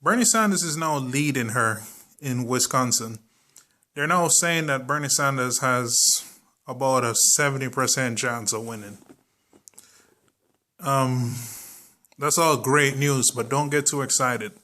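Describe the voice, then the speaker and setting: A man's voice: mid-range voice, unhurried, pauses often, sharp tone. A young man with a Jamaican accent giving casual political commentary to his viewers, chatty and informal with frequent ums and the odd swear word. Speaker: political vlogger.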